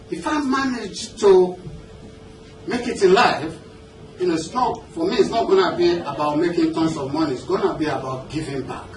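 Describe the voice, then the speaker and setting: Nigerian man speaking in a noisy, echoey room. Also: on the high side. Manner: conversational, engaging the audience with questions and check-ins. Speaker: business coach and seminar speaker. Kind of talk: motivational seminar talk. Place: indoor seminar room with an audience. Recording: roomy, noisy seminar hall, distant mic.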